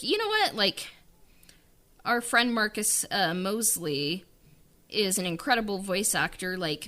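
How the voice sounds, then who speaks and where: A woman with a bright, clear voice talks through her writing process in a loose, casual way. She rambles and leans on filler words while she thinks out loud. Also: mid-range voice. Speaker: writer and producer of an audio drama. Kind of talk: casual interview chat.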